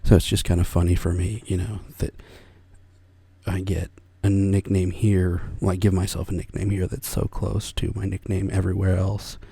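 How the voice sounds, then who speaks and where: An older man chatting softly in a whisper as an ASMR artist, rambling and soft-spoken. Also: deep voice, flat delivery, moderate pace, creaky, slightly rough, dry recording.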